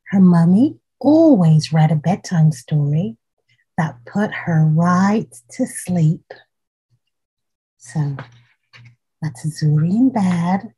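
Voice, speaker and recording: Female storytime host: very husky, mellow voice, gentle read-aloud with asides to small children. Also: lowish voice, poor audio. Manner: gentle, addressing children directly with questions. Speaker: host reading a children's picture book aloud.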